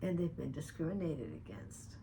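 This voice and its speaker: A woman's voice: low voice, big pitch swings, normal speed, gravelly, background noise. An older woman from the Dominican Republic, speaking straight to one listener by name in an earnest, pointed personal video message. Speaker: vlogger addressing a public figure. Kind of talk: personal open-letter video monologue.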